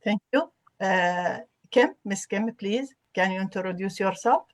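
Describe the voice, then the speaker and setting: Woman host from Iraq on a video call, dry close sound, halting and formal, lots of "uh". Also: medium pitch, no echo. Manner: polite, halting, many "uh" fillers. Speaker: host and adult education instructor. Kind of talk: formal roundtable introductions.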